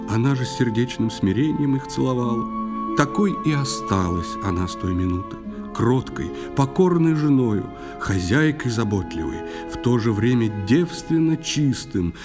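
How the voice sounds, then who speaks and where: A man narrating a poetic audio drama in verse with expressive, literary delivery. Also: mid-range voice.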